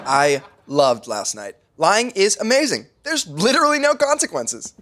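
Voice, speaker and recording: A man's voice: high-pitched, wildly swooping pitch, normal speed, noisy background. A man playing an easygoing young sitcom roommate, dry and sarcastic in his delivery. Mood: playful, dry. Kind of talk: sitcom acting.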